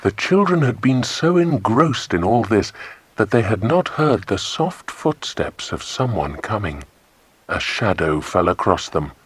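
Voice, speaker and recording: A man's voice: lowish voice, very animated, measured pace, husky, no echo. A man narrating a children's adventure audiobook in a scripted storytelling style.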